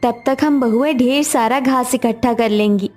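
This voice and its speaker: Woman as poor young daughter-in-law, highish voice, devoted, hopeful, cartoon acting, background music. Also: normal speed, darkish tone, natural voice. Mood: determined, hopeful. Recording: music behind the voice.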